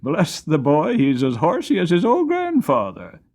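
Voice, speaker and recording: A man voicing a kindly, hearty old gentleman farmer, deliberate and good-humoured, in a dramatic audiobook reading. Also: on the high side, wildly swooping pitch, voice pushed up, heavily acted. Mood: amused, fond.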